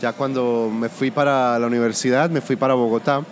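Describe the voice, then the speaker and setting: A man from Colombia with a Colombian-Venezuelan border accent, a music producer talking through his work in a relaxed interview, pausing and restarting his sentences. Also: mid-range voice, a little hiss or hum. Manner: thoughtful, restarts often. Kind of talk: casual interview conversation.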